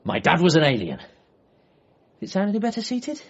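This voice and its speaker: A man's voice: high-pitched, huge pitch swings, moderate pace, breathy edge, lo-fi sound, heavily acted. An actor playing an anxious, awkward son in a radio comedy drama, stammering and flustered as he talks. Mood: frantic. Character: anxious, awkward bereaved son, excitable and sarcastic.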